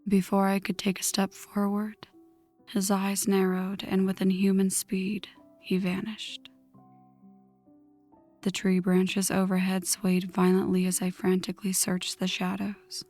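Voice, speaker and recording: A woman voicing a vengeful, newly turned vampire in a hushed, breathy ASMR story narration, slow and dramatic. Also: mid-range voice, completely flat delivery, close to an everyday voice. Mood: seething. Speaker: audio roleplay narrator. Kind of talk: ASMR scripted first-person story narration. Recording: music under the voice. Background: background music.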